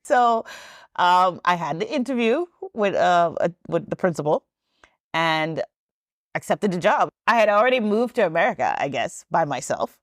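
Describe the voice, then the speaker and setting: A low-voiced woman talks through her life story as a podcast guest. She rambles comfortably, restarting sentences and dropping in ums, and laughs easily. The sound is dry and close, with no room around it. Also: sing-song, moderate pace, no room sound. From Trinidad and Tobago. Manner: chatty and rambling, frequent ums and self-corrections, laughs easily. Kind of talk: casual storytelling interview.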